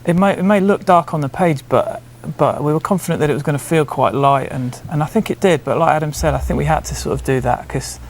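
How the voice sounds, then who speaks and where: Fast-talking man, interviewed. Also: on the high side, a little hiss or hum. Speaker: show creator being interviewed. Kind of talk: casual interview.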